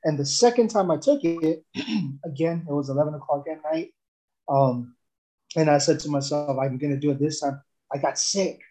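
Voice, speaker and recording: A young man from El Salvador tells his own story in a loose, rambling way, often stopping to restart. His voice sits a little high but sounds mellow and warm. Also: normal speed, low-quality recording. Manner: rambling, with many fillers and restarts. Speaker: guest giving a testimonial. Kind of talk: casual testimonial chat.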